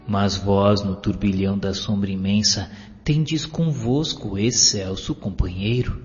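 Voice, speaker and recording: A man's voice: fairly low pitch, lo-fi sound. Solemn man narrating in a long hall reverb. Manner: solemn, declamatory, with drawn-out phrasing. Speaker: audiobook narrator. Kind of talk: scripted devotional narration with poetry recitation. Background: clear music.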